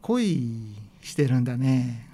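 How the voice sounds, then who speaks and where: Man playing a mellow, frank male art teacher. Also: mid-range voice, slow, slight background noise. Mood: gentle, warm. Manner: calm, casual, probing. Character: frank, gentle, encouraging male high school art teacher. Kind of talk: radio drama acting.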